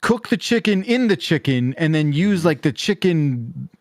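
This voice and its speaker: A man's voice: on the high side, big pitch swings, measured pace, darkish tone, dry recording, clean studio sound. A man co-hosting a podcast in casual, conversational chat. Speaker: podcast co-host.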